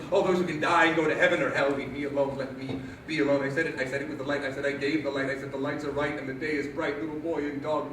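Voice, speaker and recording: An actor playing a tormented, middle-aged Doctor Faustus who pleads to be left alone, heard from far off in an echoey hall. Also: male voice, a little high, fairly bright tone, close to an everyday voice. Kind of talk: staged reading of a play. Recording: distant, echoey hall with noise.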